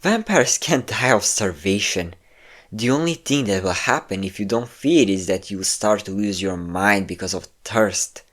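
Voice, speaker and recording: A man's voice: lowish voice, lively intonation, normal speed, fairly bright tone. A man playing a possessive, cocky vampire captor in an intimate roleplay audio drama, coaxing and smug, with theatrical endearments. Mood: condescending.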